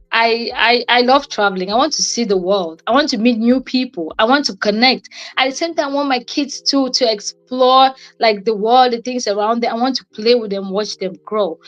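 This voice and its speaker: A woman's voice: fairly high pitch, lo-fi sound. A young adult woman giving an informal motivational talk on a livestream, conversational and repeating phrases for emphasis. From Cameroon. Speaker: co-host and guest speaker on a livestream.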